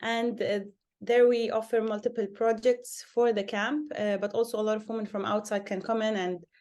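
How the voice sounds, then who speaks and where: A young social worker from Lebanon talks through her answers in a relaxed interview. She is earnest and steady, and she often stops on a hesitant "uh" before going on. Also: a little high, normal speed. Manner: earnest, many filler "uh"s.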